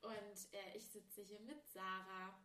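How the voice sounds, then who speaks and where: A woman in her late twenties from southern Thuringia chats as a podcast host, giggling often and correcting herself as she goes. Her voice is bright, and the room gives it a noticeable echo. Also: medium pitch, little pitch movement, takes it slowly. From southern Thuringia, Germany. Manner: chatty, giggly, many fillers and self-corrections. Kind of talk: casual chat.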